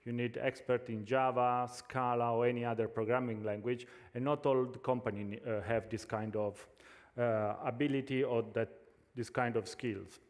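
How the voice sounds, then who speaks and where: A man's voice: medium pitch, steady tone, normal speed, big-room sound. A man with an Italian accent giving a tech conference talk, hesitant, with many fillers and restarts. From Italy. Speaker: conference speaker. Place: large conference hall.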